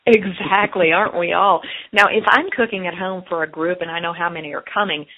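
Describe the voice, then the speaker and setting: A woman's voice: on the low side, steady tone, brisk, phone-quality sound. A woman hosting a radio interview in a friendly, upbeat presenter manner. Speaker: radio host.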